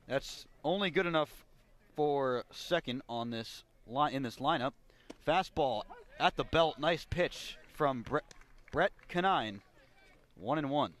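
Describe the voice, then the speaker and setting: A man's voice: mid-range voice, expressive, slow pace, fairly bright tone. An American man doing live radio play-by-play commentary as a baseball announcer. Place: ballpark radio broadcast.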